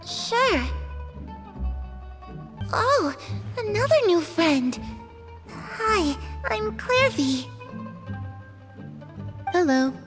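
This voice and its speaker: A woman voicing an eerie, wary little orphan girl in a video game, hesitant, hushed and forlorn. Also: very high-pitched, very animated, drawn-out words, pauses often, over music, exaggerated voice. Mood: innocent.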